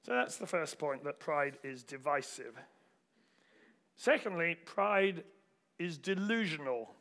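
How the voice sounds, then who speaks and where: A man delivering a sermon with anecdotes, in deliberate, rhetorical phrasing. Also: on the high side, animated, a bit bright. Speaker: preacher.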